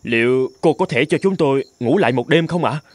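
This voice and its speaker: An actor playing a young, easygoing and polite male traveller in an animated cartoon, with expressive delivery. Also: mid-range voice, fairly quick, no room sound, natural voice. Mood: polite, reassuring. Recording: music behind.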